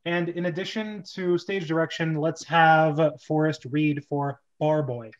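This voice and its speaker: A man with a fairly high voice hosts a group script reading in a casual, chatty way. His audio is a little dull and lo-fi. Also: fairly even intonation, a little slow. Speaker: host of a script reading club. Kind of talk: casual chat, handing out parts.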